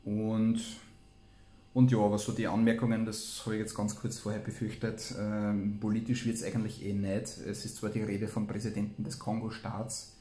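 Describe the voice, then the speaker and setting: A man's voice: on the low side, dark tone. A man, hosting, reading aloud and commenting casually. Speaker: host. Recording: roomy, echoey room sound.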